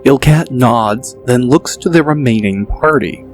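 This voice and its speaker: A man narrates a fantasy audiobook in a steady, mid-pitched voice. He lays out each scene calmly and in detail. Faint music runs underneath. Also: a little slow. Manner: steady, descriptive storytelling.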